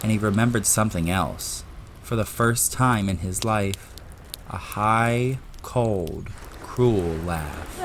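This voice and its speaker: A man's voice: on the low side, takes it slowly, a little hiss or hum. A man reading scripted narration for a dramatised audiobook.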